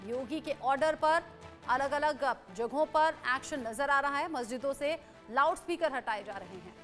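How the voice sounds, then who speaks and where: Female TV news anchor, high voice, emphatic live delivery, faint music underneath. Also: normal speed, a bit bright. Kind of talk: live TV news reporting and questioning.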